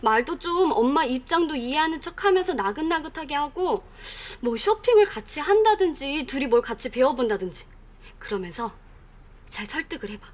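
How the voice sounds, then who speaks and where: A woman's voice: sits high, expressive, normal speed. A woman in her twenties playing a sensible, blunt friend who advises matter-of-factly in a sitcom. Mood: coaxing. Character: sensible, blunt young friend giving advice. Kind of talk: sitcom acting.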